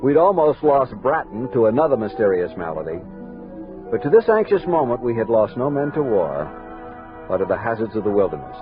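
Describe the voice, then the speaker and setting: Actor as grave American captain, swinging expressive delivery. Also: male voice, medium pitch, narrow, tinny audio, lightly acted. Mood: sombre, brooding. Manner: measured, literary storytelling with crisp orders. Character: grave, commanding American army captain narrating his expedition journal. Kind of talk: scripted dramatized narration, 1960s radio drama. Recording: band-limited 1960s studio radio recording with music.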